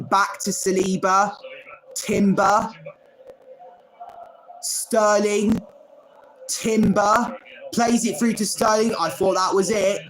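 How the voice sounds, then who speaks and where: A man's voice: high voice, a little hiss or hum, rough recording. A man hosting a live football watchalong stream, calling each pass by player name and chatting with viewers between plays. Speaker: livestream host.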